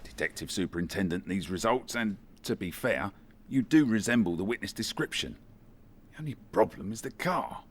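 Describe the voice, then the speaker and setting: Man acting a deep-voiced police detective. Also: expressive, moderate pace. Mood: matter-of-fact. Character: male police detective inspector, matter-of-fact, faintly apologetic. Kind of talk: comedy radio play acting.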